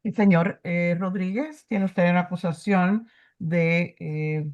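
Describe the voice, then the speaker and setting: A woman's voice: lowish voice, fairly even intonation, a little slow, darkish tone, highs cut off. A woman court interpreter speaking politely through a built-in computer microphone on a video call.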